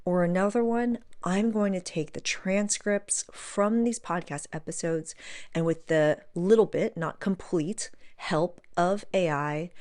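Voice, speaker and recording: Lower-pitched woman, casual podcast host. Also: darkish tone. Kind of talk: casual podcast chat, addressing listeners.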